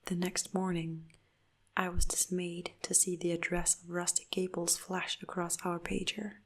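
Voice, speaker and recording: A woman narrating a dark first-person story in a soft, hushed whisper throughout. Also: lowish voice, little pitch movement, measured pace, slightly rough.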